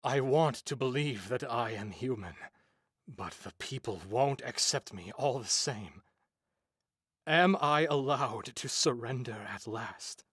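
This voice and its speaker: A man's voice: medium pitch, animated, slow, fairly bright tone, slightly rough, no echo, clean studio sound, heavily acted. A man playing an anguished, self-loathing cursed ex-soldier in a visual novel, weary and dramatic. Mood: despairing. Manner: dramatic, despairing, polite but weary.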